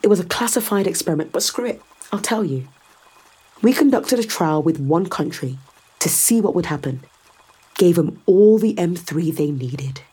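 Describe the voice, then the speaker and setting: A calculating woman in power for a science fiction radio play. Her voice sits fairly low with a husky edge. She speaks with controlled, sardonic authority, laying out her case persuasively. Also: expressive, moderate pace, dark tone, rough recording. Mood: confiding, explaining. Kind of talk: full-cast science fiction audio drama acting.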